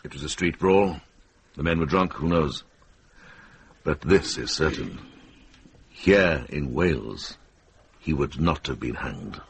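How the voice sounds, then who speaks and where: An actor playing a wise Welsh monk and sleuth in a radio drama, speaking deliberately and persuasively as a steady advocate. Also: male voice, a bass voice, gravelly, slight background noise, full character voice. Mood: grave, measured.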